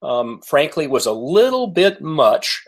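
An American man speaking as a podcast guest in a conversational interview, measured and polite with pauses. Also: a little high, very animated. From United States. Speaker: podcast guest, author and coach.

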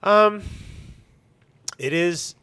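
A man's voice: high voice, drawn-out words, bright, forceful tone, clear, resonant tone. A man talking casually and emphatically in an interview, giving blunt opinions as a shop owner.

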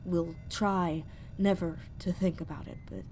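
A woman playing a traumatized, betrayed space explorer in a sci-fi horror audio drama, speaking in a halting monologue that slips into whispers. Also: fairly low pitch, fairly even intonation, background noise, close to an everyday voice. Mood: hurt, accusing.

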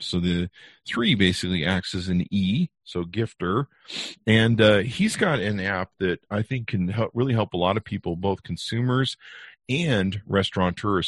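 Deep-voiced chatty male podcast host. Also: dry recording, clean studio sound. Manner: rambling, chatty, many fillers and restarts. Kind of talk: casual interview chat.

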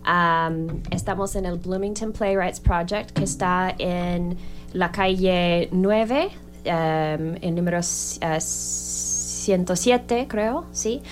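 Female organizer, slow hesitant delivery, faint background music. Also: lowish voice. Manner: hesitant, giggly announcements. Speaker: theater group organizer.

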